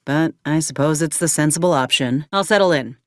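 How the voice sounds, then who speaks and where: A woman's voice: low-pitched, fairly quick, no room sound, studio quality, lightly acted. An actress playing an earnest, determined young woman soldier with folksy rural turns of phrase in a video game. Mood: thoughtful, practical. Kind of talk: video game character dialogue.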